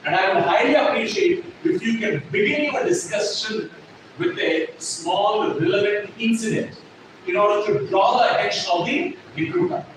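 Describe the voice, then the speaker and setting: A man's voice: sits high, big pitch swings, moderate pace. A man, lecturing a classroom as a trainer, emphatic and repeating his points while checking whether the class follows. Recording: echoey classroom, distant mic, noisy.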